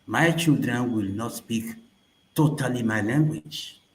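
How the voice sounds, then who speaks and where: African male host, English, slow and emphatic, roomy echo. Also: mid-range voice. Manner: slow, emphatic, repeating questions. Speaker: panel host. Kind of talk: panel discussion. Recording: echoey room.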